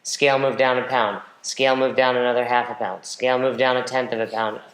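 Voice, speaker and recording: A man's voice: medium pitch, level tone, brisk, fairly bright tone. A man hosting a casual podcast chat as a nutrition coach, direct and joking in a coach-like way. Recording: roomy echo.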